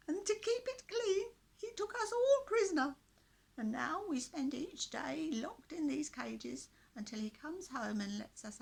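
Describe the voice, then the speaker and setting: A woman voicing a croaky old village man, imprisoned, pleading high and thin. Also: heavily acted. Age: older.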